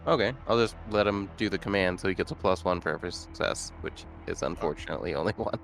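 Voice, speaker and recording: A man's voice: low voice, quick pace, gravelly, fairly bright tone. A man casually chatting as a player during a tabletop roleplaying game. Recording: faint noise behind the voice.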